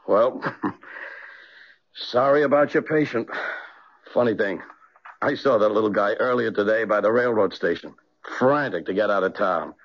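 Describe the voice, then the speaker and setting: An actor playing a weary, matter-of-fact police officer, talking in clipped colloquial phrases in a radio drama. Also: male voice, mid-range voice, close to an everyday voice. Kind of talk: 1970s radio drama acting. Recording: dull old radio recording.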